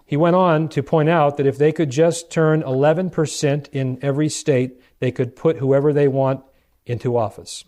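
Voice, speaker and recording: An American man preaching in a lecture-like Bible study, explaining deliberately and putting rhetorical questions to his listeners. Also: fairly high pitch, fairly even intonation, a little mellow. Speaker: preacher, church elder.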